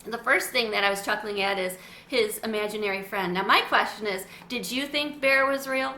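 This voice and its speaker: A woman reading a children's chapter book aloud and then chatting about it in a warm storyteller manner. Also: mid-range voice, normal speed. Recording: echoey room, faint hiss.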